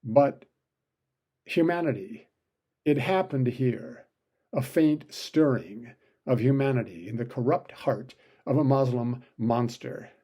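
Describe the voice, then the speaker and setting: A man's voice: mid-range voice, slow, warm tone, husky. A man delivering an opinion commentary monologue, full of sardonic, sarcastic asides.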